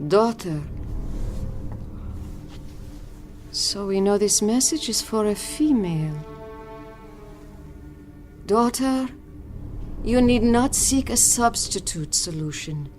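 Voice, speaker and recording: Faint music sits behind a female spiritualist in an audio drama. She is composed and deliberate, with a mystical solemnity. She probes as if she already knows the answers. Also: mid-range voice, lively intonation, a little mellow.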